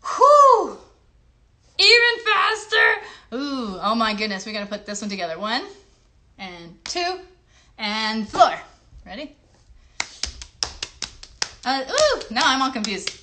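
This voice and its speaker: A woman's voice: on the high side, sing-song, slow pace, pauses often, sharp tone. A woman hosting a children's show, giving playful, encouraging instructions straight to young viewers. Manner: encouraging, talks directly to children. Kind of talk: playful instruction and singalong for kids. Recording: clear background noise on livestream.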